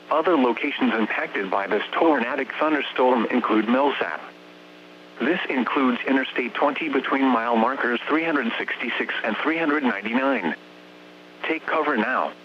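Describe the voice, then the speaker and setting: Muffled synthetic American male weather-radio announcer. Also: medium pitch. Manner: formal, measured synthetic delivery. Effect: synthetic text-to-speech voice. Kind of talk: automated scripted weather bulletin. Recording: muffled, noisy radio broadcast with hum.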